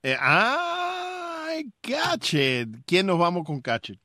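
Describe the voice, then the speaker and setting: A man's voice: high-pitched, wildly swooping pitch, slow, sharp tone, no echo, clean studio sound. A man hosting a casual sports interview, reacting and interjecting with short questions and exclamations. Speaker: host interviewer.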